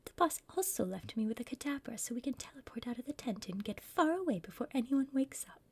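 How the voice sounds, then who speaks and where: A woman's voice: high-pitched, animated, quick pace, full character voice. A woman playing a bossy, scheming female villain in a whispered ASMR roleplay, impatient and conspiratorial with her partner. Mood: scheming, instructing. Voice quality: breathy whisper.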